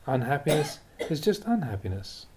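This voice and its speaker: A man reading Buddhist teachings aloud in a measured, deliberate way with emphatic pauses. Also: a little high, big pitch swings, noisy background. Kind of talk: read-aloud spiritual teaching.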